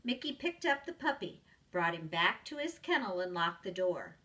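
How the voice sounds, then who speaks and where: Bright, expressive woman reading a storybook. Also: fairly low pitch, moderate pace, recorded from a distance. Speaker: preschool teacher reading aloud. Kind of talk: expressive children's storybook narration.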